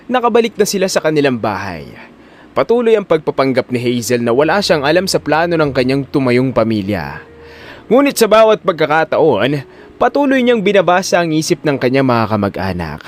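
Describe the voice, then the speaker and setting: Male storyteller for audio drama; dramatic scripted narration, animated intonation, slightly raised register. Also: fairly high pitch.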